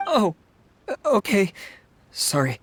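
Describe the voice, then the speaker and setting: An actor playing a timid, awkward, pedantic civil servant in a game, speaking haltingly. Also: male voice, high-pitched, wildly swooping pitch, a little hiss or hum, heavily acted. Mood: sheepish. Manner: halting, fumbling, hesitant. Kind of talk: game voice acting. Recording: music behind.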